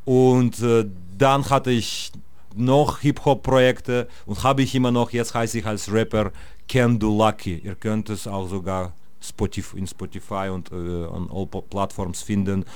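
A man's voice: on the low side, little pitch movement, measured pace, smooth. A man, a music producer and DJ, talking casually as an interview guest, with many fillers and restarts. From Russia. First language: Russian. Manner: hesitant, many fillers and restarts, laughs easily.

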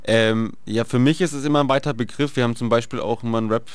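A man hosting a radio interview, asking laid-back questions in a relaxed, casual way. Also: mid-range voice, quick pace, clear, resonant tone, no room sound. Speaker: radio host.

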